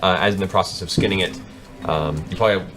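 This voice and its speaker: A man's voice: low voice, fairly even intonation, fast talker, a bit bright. A man narrating a tabletop fantasy game as game master, in a descriptive, dramatic storytelling manner. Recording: music playing under the voice. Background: background music.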